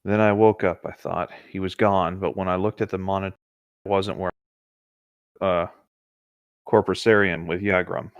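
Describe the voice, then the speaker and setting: A man's voice: deep voice, level tone, normal speed, pauses often, no room sound, muffled recording. A man co-hosting a horror story show, reading aloud and chatting in an informal, conversational way. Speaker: co-host reading internet horror stories. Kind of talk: casual banter and story reading.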